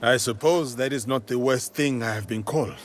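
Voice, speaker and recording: An actor playing an earnest, loyal male warrior in a game cutscene, steady and sincere. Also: mid-range voice, moderate pace, natural voice. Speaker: game protagonist. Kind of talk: video game cutscene acting. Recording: game audio with music.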